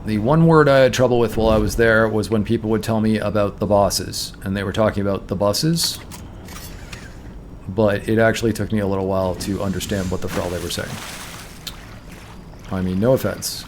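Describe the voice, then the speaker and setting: A man's voice: fairly low pitch, fairly even intonation, moderate pace, slight background noise. A man from Toronto with a Canadian accent streams a game in casual chat, thinking aloud and correcting himself, his voice tired. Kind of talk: casual let's-play chat.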